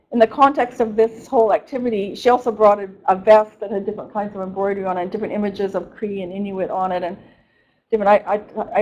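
A woman's voice: mid-range voice, a little mellow, low-quality recording. A woman researcher giving an academic presentation in a lecture room, speaking conversationally with frequent restarts and self-corrections.

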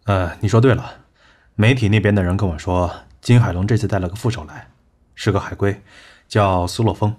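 An actor playing a shrewd male business partner with a brisk, wry and joking manner. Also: on the low side, a little mellow, husky. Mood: scheming. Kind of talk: amateur audio drama acting.

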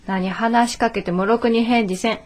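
Actress as blunt young Kansai daughter, higher-pitched. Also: female voice, fairly even intonation, normal speed, lightly acted. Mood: scolding. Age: 20s. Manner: blunt, scolding. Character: brisk, nagging 24-year-old daughter of a shopkeeper. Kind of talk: radio drama acting.

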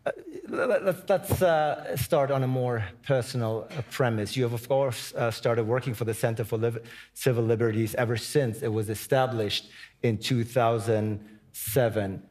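A man's voice: medium pitch, moderate pace, darkish tone. A man in his forties moderating a stage interview, polite and hesitant with frequent fillers. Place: large conference stage before an audience.

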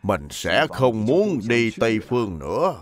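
A man's voice: mid-range voice, sing-song, a little slow, crowd noise. A man voicing a humble elderly Buddhist monk who teaches patiently in plain, simple words for a cartoon. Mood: earnest teaching. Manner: didactic, plain, repeats instructions simply. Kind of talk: dubbed animated religious biography.

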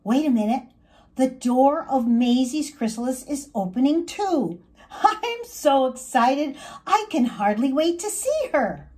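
A woman voicing a young male monarch butterfly, an earnest and caring friend, in an expressive storybook manner. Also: high voice, measured pace, slightly rough, heavily acted. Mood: excited.

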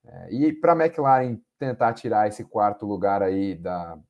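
A young man with a Brazilian Portuguese accent, giving measured, hesitant analysis on a podcast video call. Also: fairly low pitch, dull audio. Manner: measured, hesitant, many fillers. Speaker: podcast panelist. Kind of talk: casual analytical chat.

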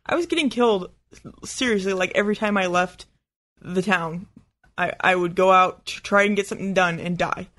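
Casting: male podcast co-host, high and bright voice, casual chat. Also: moderate pace. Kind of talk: casual chat about video games.

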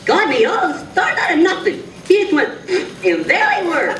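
Reassuring cartoon friend, animated children's story, very lively pitch, distant roomy sound, music behind. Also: normal speed, slight background noise, exaggerated voice. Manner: expressive, reassuring, simple explanations for a child.

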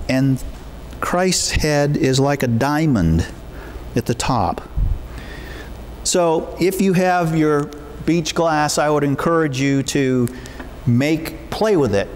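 A man in his fifties preaching informally as a pastor in a measured, gently encouraging way. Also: on the high side, a little hiss or hum. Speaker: pastor leading a church service. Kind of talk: informal sermon and prayer. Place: church sanctuary, at a wooden pulpit.

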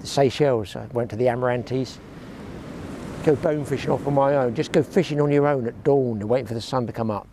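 A man's voice: mid-range voice, brisk. A man in his sixties, a fishing vlogger chatting to a close-held camera on an open shingle beach, rambling and grumbling with dry humour. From England. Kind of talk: casual chat to camera. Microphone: action camera held close. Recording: outdoor beach noise behind.

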